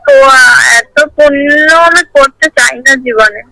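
A woman's voice: sits high, unhurried, creaky, sharp tone, low-quality recording. A woman in her twenties on the phone, answering a prank caller with sarcastic comebacks. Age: 20s. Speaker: prank call target. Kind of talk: phone conversation.

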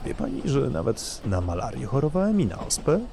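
An actor playing a courtly, boastful married gentleman in an audio drama, speaking in a gallant, formal and measured way as a charming flirt. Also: medium pitch, huge pitch swings, gravelly, slight background noise. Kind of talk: Polish audio drama dialogue.

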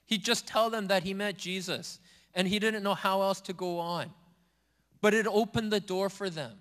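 High-voiced man preaching in a reverberant hall. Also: fairly even intonation, moderate pace, fairly bright tone. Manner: earnest, repetitive preaching cadence. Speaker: pastor. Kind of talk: sermon. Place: church sanctuary.

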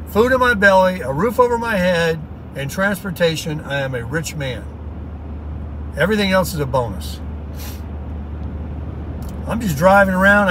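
A middle-aged man with a slightly high voice chats in a folksy, upbeat ramble from behind the wheel. He is recorded on a dash camera inside a moving car. Faint road noise hums underneath. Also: a bit bright. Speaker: vlogger. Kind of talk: casual rambling talk while driving.

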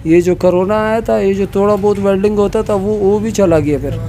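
Interviewee, man, 50s, from Pakistan; high-pitched, earnest and complaining, noisy workshop recording. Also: a little fast, mellow. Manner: earnest, complaining, repeats filler words. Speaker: artist interviewee. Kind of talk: casual interview. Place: cluttered workshop, indoors. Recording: noisy background.

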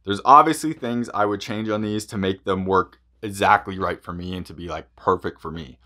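A man's voice: deep voice, moderate pace, sharp tone. A man giving a casual product review in a conversational, rambling way. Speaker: hockey gear reviewer.

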